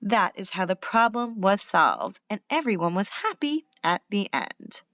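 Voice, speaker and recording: A woman's voice: medium pitch, big pitch swings, a little slow, a bit bright, no room sound. A woman giving a read-aloud lesson for children as a teacher, instructive and asking questions.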